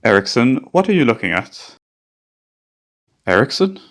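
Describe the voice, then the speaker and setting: A man's voice: mid-range voice, very animated, a little slow, creaky, a bit bright, full character voice. A man voicing a practical, earnest chief engineer in a radio play who also narrates and soothes the crew. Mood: coaxing. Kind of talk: amateur radio drama acting.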